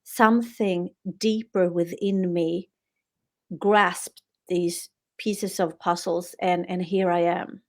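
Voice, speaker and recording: A middle-aged Swedish woman whose first language is Swedish speaks in a lowish voice with a fairly flat melody. She talks earnestly and reflectively about her work, often restarting her sentences as she goes. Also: slow. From Sweden. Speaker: guest author and yoga therapist. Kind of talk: podcast interview conversation.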